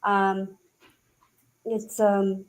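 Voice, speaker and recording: A woman from New England with a subtle regional accent, chatting hesitantly and laughing softly as she gets a reading. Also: mid-range voice, level tone, drawn-out words, rough recording. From New Hampshire and Massachusetts, US. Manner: hesitant, frequent soft laughter. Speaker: guest receiving a reading. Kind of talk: casual chat.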